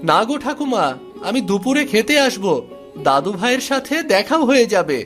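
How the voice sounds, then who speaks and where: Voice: medium pitch, very animated, normal speed, fairly bright tone, soft background music. A man voicing a poor, earnest young working grandson in a cartoon story, speaking politely and pleadingly. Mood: subdued, dutiful.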